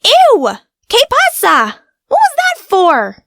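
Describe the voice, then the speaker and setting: Woman as a very high-pitched, feisty cat. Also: wildly swooping pitch, slow, a bit bright, dry recording, studio quality, exaggerated voice. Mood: indignant. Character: wary, indignant, feisty female pet cat. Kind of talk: visual novel character acting. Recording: music clearly behind the voice.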